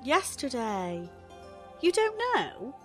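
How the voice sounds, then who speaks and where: Young female shop assistant, radio comedy: reticent and terse, bright voice, lively pitch movement. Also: slow, faint music behind, heavily acted. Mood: tense, reluctant. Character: glum, hesitant young woman shop assistant. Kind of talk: radio play comedy acting.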